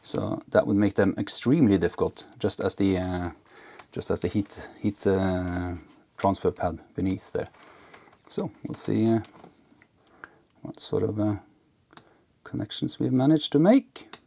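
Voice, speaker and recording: A man giving casual, unscripted hands-on narration as an electronics hobbyist, halting and half talking to himself as he works. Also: fairly low pitch, vocal fry, a little mellow. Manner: halting, muttering to himself while working.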